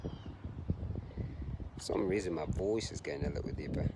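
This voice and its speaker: A deep-voiced man muses unhurriedly into his own camera while out on a park trail, pausing often between thoughts. The outdoor recording carries a clear layer of background noise. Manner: soft-spoken, musing, halting with pauses. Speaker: vlogger and long-distance runner. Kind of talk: casual reflective vlog while out jogging. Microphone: handheld camera, self-filmed.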